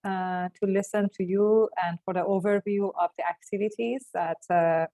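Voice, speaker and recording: A woman moderating an online workshop, friendly and welcoming, with many filled pauses. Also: mid-range voice, steady tone, warm tone.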